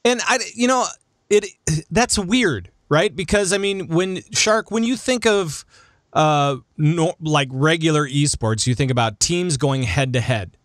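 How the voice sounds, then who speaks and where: Higher-pitched man hosting a casual podcast. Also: lively intonation, measured pace, dry recording, studio quality. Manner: conversational, steers the discussion with questions. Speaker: podcast host. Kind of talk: casual gaming podcast chat.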